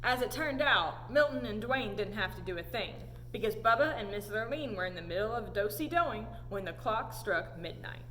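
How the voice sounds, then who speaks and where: A woman reading a storybook aloud in an animated storytelling style. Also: mid-range voice, normal speed, few pauses. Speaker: story time reader. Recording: echoey hall, audible background noise.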